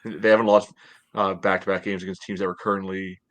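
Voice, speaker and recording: An American man in his thirties with a fairly low voice co-hosts a sports podcast from home. He discusses things casually, padding his sentences with small conversational fillers. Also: level tone, fairly quick. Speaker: podcast co-host. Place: home room, indoors, on a video call.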